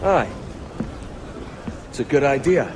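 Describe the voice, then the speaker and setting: A man's voice: mid-range voice, lively intonation, slow, noisy background. An actor playing a cocky, roguish young pirate captain in a video game, wry and teasing in his talk. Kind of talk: video game cutscene acting.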